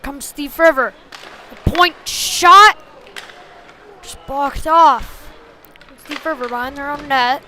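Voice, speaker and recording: Female hockey play-by-play commentator, high pitch, slowish clipped calls, live venue noise. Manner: short clipped phrases, excited on big plays.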